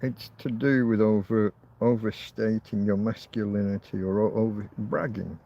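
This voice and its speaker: A man's voice: on the low side, fairly even intonation, moderate pace, creaky, lo-fi sound. A man in his fifties hosting a rambling, casual livestream outdoors, talking bluntly and swearing freely. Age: 50s. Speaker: livestream host. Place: outdoors in a field.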